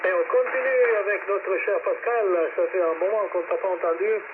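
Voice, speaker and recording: A man's voice: high voice, moderate pace. A man running an amateur radio net, talking hesitantly with many filler sounds and spelling out call signs. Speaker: net control operator of a ham radio net. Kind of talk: ham radio net chat, switching languages. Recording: tinny shortwave radio with static.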